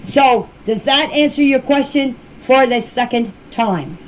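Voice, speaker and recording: A woman with a high-pitched voice gives her own side of things in an informal voice clip. She is defensive and insistent, stressing her points firmly. There is faint background noise on the amateur recording. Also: measured pace, low-quality recording. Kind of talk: personal account answering questions in a voice message.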